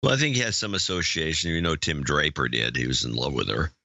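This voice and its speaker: A man's voice: extremely low pitch, quick pace, gravelly, bright, forceful tone, no room sound, lo-fi sound. A man co-hosting a podcast in casual chat, blunt and opinionated. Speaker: podcast co-host.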